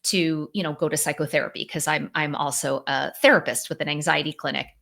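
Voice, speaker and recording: Lively conversational woman psychologist. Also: low voice, a little fast, creaky. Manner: animated, conversational, many "like" and "you know" fillers. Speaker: psychologist and author, guest. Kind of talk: podcast interview, explaining.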